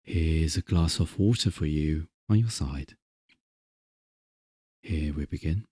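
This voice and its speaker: A man softly narrating a soothing ASMR bedtime story in a gentle, unhurried, hushed manner over music. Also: rumbling low, breathy edge. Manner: gentle, hushed, unhurried, at times near a whisper. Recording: music behind the voice.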